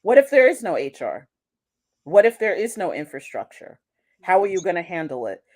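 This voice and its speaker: A woman with a somewhat low voice, speaking as an interview guest. She thinks aloud, restarts her sentences and often closes a point with a rhetorical "right?". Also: sing-song, normal speed, darkish tone. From United States. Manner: reflective, restarts sentences, asks rhetorical questions ending in "right?". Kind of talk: conversational interview.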